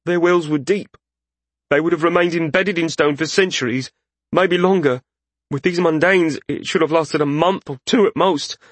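Fantasy audiobook role: troubled young male mage, high-pitched, earnest, anguished explainer male narrator acting. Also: normal speed, dry recording, natural voice. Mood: earnest, grave.

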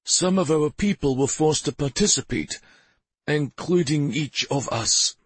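A man's voice: a little high, lively intonation, measured pace, breathy edge, no room sound, pitched above the performer's own voice. A man voicing a humble, troubled village mayor in a fantasy audiobook, pleading and deferential. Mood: troubled, subdued. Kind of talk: fantasy audiobook character dialogue.

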